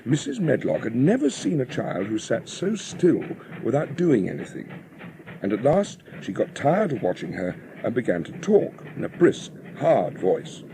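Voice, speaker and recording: A man's voice: medium pitch, wildly swooping pitch, moderate pace, gravelly, background noise. A man narrating a dramatised audiobook story in a scripted storytelling style. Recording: music behind the narration.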